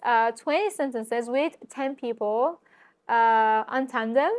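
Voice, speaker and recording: Lively woman giving language tips. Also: fairly high pitch. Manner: lively, direct, talks to viewers, frequent fillers. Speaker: language teacher and YouTuber. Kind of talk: casual vlog-style lesson.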